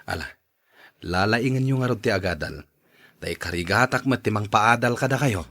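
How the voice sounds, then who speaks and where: A man's voice: on the low side, expressive, normal speed, fairly bright tone. A man playing a supportive, plain-spoken middle-aged father in a radio drama. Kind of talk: Ilocano radio drama acting.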